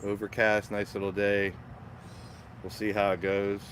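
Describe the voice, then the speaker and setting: A man with a raspy morning voice hosting a casual live stream from his backyard garden, chatting and greeting viewers by name. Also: fairly low pitch, completely flat delivery, measured pace, a bit bright, slight background noise. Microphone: small phone-mounted microphone.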